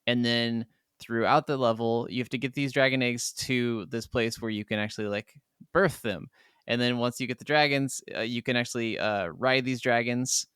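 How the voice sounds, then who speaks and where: A man's voice: medium pitch, steady tone, normal speed, fairly bright tone, clear, resonant tone, no echo, studio quality. A man co-hosting a podcast, chatting casually about video games in a rambling, enthusiastic way. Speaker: podcast co-host.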